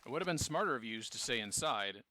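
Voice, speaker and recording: Man acting a sharp-voiced, businesslike police captain. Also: medium pitch, lively intonation, quick pace. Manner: matter-of-fact, official. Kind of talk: fan audio drama acting.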